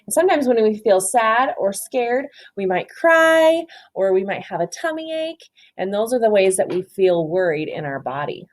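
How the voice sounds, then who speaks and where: Woman, lively swooping intonation, encouraging teacher manner, children's story read-aloud, simple questions. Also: on the high side. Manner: encouraging, asks simple questions and affirms answers.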